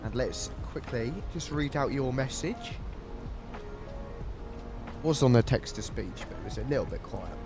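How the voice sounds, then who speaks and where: A man's voice: mid-range voice, expressive, unhurried, long pauses, over music. A man streaming a flight sim, chatting casually with viewers while flying, his nose stuffy from a cold. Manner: chatty, friendly, thanks viewers by name. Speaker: flight simulator livestreamer.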